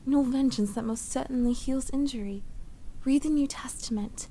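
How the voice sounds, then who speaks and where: A woman playing a patient, pious schoolgirl who speaks softly and earnestly in an audio drama. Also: sits high, normal speed, slight background noise. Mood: gently earnest.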